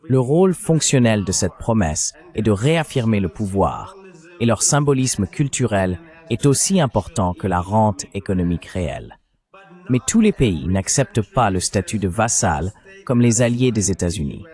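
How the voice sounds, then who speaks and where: Guy w a lowish voice reading a formal explainer script, kinda teacherly. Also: animated, moderate pace, darkish tone. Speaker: political commentator, narrator. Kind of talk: scripted analytical narration.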